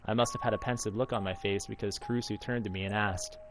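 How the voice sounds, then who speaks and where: A man's voice: lowish voice, fairly even intonation, fast, background noise. A man in his thirties, a streamer reading a visual novel's story text aloud as narration. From United States, Alaska. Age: 30s. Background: faint game music.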